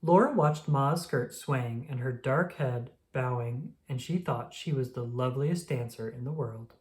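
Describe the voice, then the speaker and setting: A storyteller reading a children's picture book aloud in a slow, gentle manner. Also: medium pitch, slight background noise.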